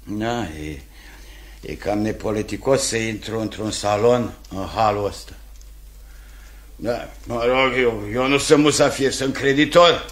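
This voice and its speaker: An actor playing a boorish, hot-tempered landowner and creditor, blustering and grumbling in 1950s radio theatre style. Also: male voice, on the low side, natural voice. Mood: grumbling, miserable. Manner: bellowing, blustering, grumbling to himself.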